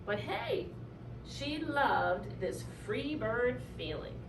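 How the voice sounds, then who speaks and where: A woman reading a children's story aloud in an expressive, animated way, drawing out key words for effect. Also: on the high side, drawn-out words. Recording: roomy, distant mic, clearly noisy.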